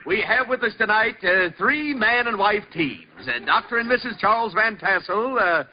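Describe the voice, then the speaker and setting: A hammy chuckling quiz host. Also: male voice, sits high, expressive, normal speed, highs cut off. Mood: jovial. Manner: jovial, showy, chuckling. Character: effusive hammy male quiz show host. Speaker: quiz show master of ceremonies. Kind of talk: 1940s radio comedy acting. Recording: hissy old radio broadcast recording.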